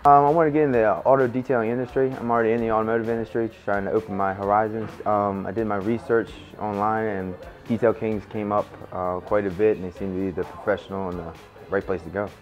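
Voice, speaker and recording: A man from Maryland giving a brisk testimonial with fillers about getting into auto detailing. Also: lowish voice, level tone. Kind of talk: testimonial interview. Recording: slightly dull, muffled sound. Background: soft background music.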